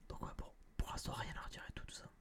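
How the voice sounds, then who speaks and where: A young man whispering close to a binaural microphone in a casual, rambling, blunt ASMR review style, with a breathy, unvoiced voice. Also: fast talker. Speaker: ASMR channel host reviewing a film.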